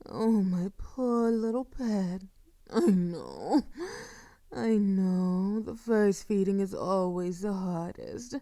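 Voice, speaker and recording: Female vampire , played by a woman, seductive and cruelly doting. Very slow, mellow delivery with breathy whispers, commanding, for intimate audio roleplay. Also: medium pitch, animated, dry recording. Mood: mock-tender, domineering. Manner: slow, commanding, mock-tender, often dropping to a breathy whisper.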